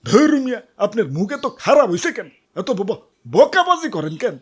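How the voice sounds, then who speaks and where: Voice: mid-range voice, big pitch swings, brisk, breathy edge. A performer voicing a carefree, cheeky cartoon youngster with casual speech. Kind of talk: comic cartoon dialogue.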